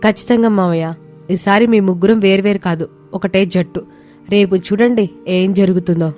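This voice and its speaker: Woman acting young daughter-in-law, earnest, spirited, emphatic, medium pitch, village story drama, faint music. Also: steady tone, normal speed, mellow, close to an everyday voice. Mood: determined. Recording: music behind the voice.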